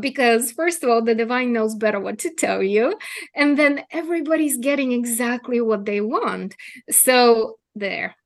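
A middle-aged woman, a former attorney turned healer, speaking as a podcast guest in an earnest, reflective way and often adding "right?". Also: a little high. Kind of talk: casual interview conversation.

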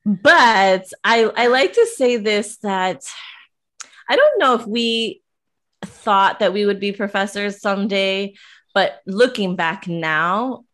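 A woman hosting a casual talk, chatty and telling quick stories with frequent laughter. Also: medium pitch, expressive, a bit bright. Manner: chatty, laughs often, storytelling. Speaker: host, professor. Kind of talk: casual conversation.

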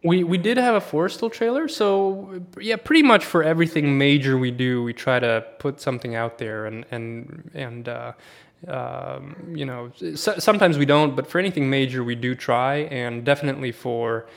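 A man raised in Sweden talks casually as a podcast guest, with a gravelly edge to his mid-pitched voice. He rambles, stops and restarts, and fills the gaps with plenty of "uh" and "you know". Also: moderate pace. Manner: relaxed, rambling with many fillers and restarts.

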